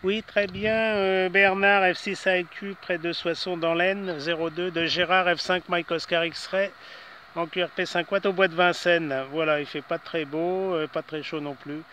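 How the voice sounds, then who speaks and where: A man's voice: sits high, fairly even intonation, measured pace, pushed and bright, slight background noise. An older man speaking as an amateur radio operator outdoors, casually mixing chat with spelled-out call signs. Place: outdoors in a city park.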